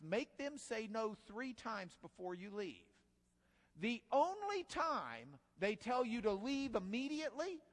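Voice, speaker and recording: A man preaching an expository sermon in a conversational, folksy way that builds to emphatic bursts, in a church sanctuary. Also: sits high. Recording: long hall reverb.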